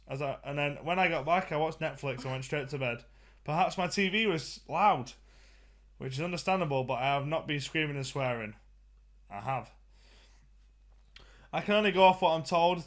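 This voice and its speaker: A young man from the UK co-hosting a casual podcast at home in his flat, loud and full of swearing, a little tipsy. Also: on the high side. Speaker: podcast co-host. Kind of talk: crude casual banter. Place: flat, indoors.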